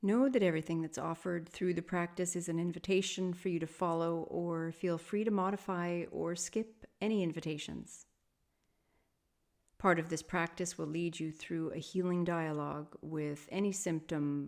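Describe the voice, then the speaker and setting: A woman with a somewhat low voice leads a guided meditation as a yoga teacher. Her delivery is soft and soothing. She speaks in measured phrases and leaves long pauses between them. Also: fairly even intonation.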